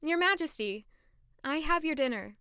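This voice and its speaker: A woman's voice: high voice, animated, normal speed, bright, forceful tone, dry recording, studio quality, full character voice. A woman playing an anxious, earnest young maid turned lady-in-waiting in an audio drama, introspective in manner. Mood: deferential. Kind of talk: audio drama dialogue and first-person narration. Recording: music behind the voice.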